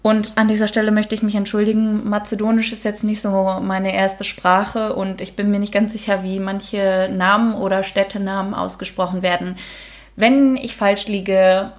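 A woman hosts a true crime podcast in a relaxed, chatty way, with frequent fillers. When she reads out the case, she switches to steadier storytelling. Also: mid-range voice, moderate pace. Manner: casual, many fillers, reads case notes aloud. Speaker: podcast host.

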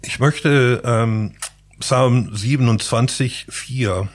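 A man's voice: mid-range voice, extremely slow. A man who is a native English speaker, speaking German with an accent as an interview guest, thoughtful and searching for words. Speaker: interview guest, pastor and painter. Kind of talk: reflective interview talk.

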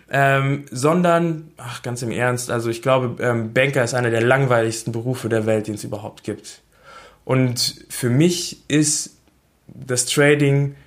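A young man from Berlin talking casually about himself, with lots of hesitant fillers. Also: mid-range voice. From Berlin, Germany. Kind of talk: casual self-introduction.

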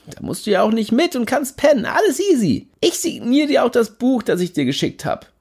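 A man's voice: extremely high pitch, very animated, fairly quick, creaky, a little mellow, husky, voice pushed up, heavily acted. A man reading aloud, voicing a pushy, boisterous young man who plans wild parties and schemes with overexcited energy. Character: brash pushy party-mad young man.

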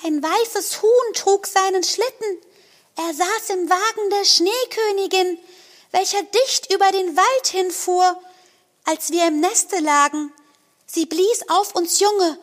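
A woman reading aloud and voicing a pair of wood pigeons with a rolling, cooing delivery. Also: slow. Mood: urgent. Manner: rolling "krrr" coos, high and airy. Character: cooing wood pigeons, small shrill birds.

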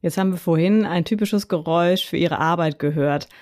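A woman's voice: lowish voice, brisk, darkish tone, no echo. Woman, from hamburg, podcast co-host, friendly questions, dry sound. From Hamburg, Germany. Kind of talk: interviewing.

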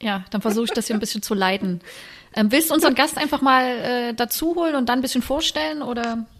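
A woman co-hosting a casual chat and gently steering the conversation. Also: a little high, fast talker. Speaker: podcast co-host.

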